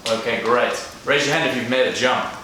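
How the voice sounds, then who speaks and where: A man's voice: mid-range voice, brisk, bright, forceful tone. A man in his thirties with a South African accent, giving an earnest motivational talk to a live audience. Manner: earnest, direct, addresses the crowd. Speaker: guest speaker. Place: large echoey event room. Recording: echoey room, distant mic, faint noise.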